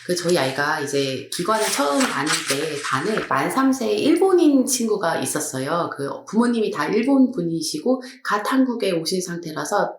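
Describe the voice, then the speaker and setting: Woman host, low voice but swings around a lot, chatty stories, echoey room. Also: normal speed, hardly any pauses, slight background noise. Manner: conversational, animated storytelling. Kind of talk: hosted educational discussion.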